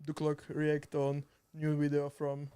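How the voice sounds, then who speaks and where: Slovak man, halting English, smooth voice. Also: on the high side, monotone, warm tone. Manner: halting, hesitant English with frequent restarts and laughter. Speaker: streamer and video editor guest. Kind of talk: casual chat. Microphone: too far from the mic.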